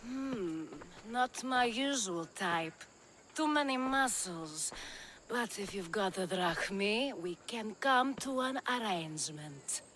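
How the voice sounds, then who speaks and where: An actress playing a worldly, flirtatious courtesan in a video game cutscene, with a languid, wry manner. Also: female voice, medium pitch, animated, slow, a bit bright, slight background noise.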